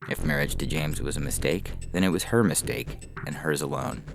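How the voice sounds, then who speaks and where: A man's voice: rumbling low, normal speed, creaky, soft background music. A man narrating a scripted science fiction story.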